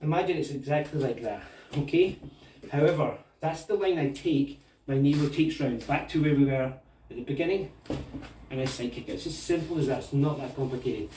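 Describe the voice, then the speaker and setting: A man's voice: medium pitch, brisk, slight background noise. A man with a Scottish accent giving a martial arts tutorial, talking through the moves as he demonstrates them. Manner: relaxed, talks through moves while demonstrating. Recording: echoey room, mic at a distance.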